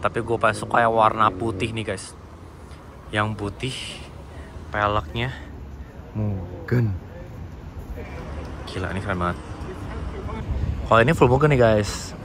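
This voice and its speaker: A man's voice: on the low side, fairly even intonation, measured pace, long pauses, noisy background. A man with a Jakarta Indonesian accent giving enthusiastic, casual vlog commentary, mixing slang with English car terms. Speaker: car vlogger. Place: outdoors at a car meetup. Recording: loud music behind, outdoor vlog audio.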